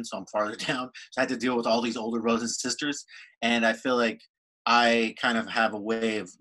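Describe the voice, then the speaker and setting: A man's voice: lowish voice, sharp tone, rough recording. A middle-aged man telling a personal story on a video call from home, talkative and full of fillers. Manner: talkative, many "kind of" and "like" fillers. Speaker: workshop participant. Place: room at home. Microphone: video call on a laptop.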